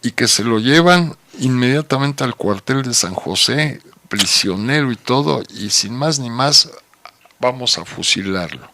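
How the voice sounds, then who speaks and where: A man's voice: medium pitch, lively intonation, a little slow, gravelly, bright, forceful tone, slight background noise. A middle-aged man with a Mexican accent telling anecdotes in a casual radio chat, with colloquial asides. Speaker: radio guest.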